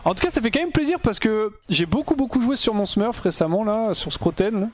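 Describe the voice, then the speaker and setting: A man's voice: high-pitched, expressive, a little fast. A young man casually commenting live on his own gameplay as a streamer, thinking aloud in slangy talk sprinkled with English gamer terms, into a gaming headset.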